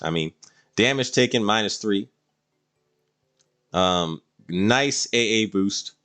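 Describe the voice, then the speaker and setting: A man's voice: lowish voice, lively intonation, slow pace, pushed and bright, clear, resonant tone, faint music behind. A man doing casual live-stream game commentary, explaining things to viewers in a rambling way.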